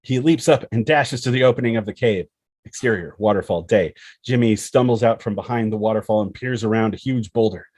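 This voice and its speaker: A man's voice: lowish voice, fairly quick, darkish tone, no room sound. A man narrating a screenplay, reading out scene headings and action descriptions as scripted storytelling.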